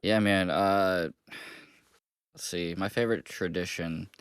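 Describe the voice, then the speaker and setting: A young man co-hosting a casual podcast, relaxed and rambling, a little nasal from a cold. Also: sits low, a little slow, clean tone, no room sound, clean studio sound. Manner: relaxed, rambling with many fillers. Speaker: co-host. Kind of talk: casual chat.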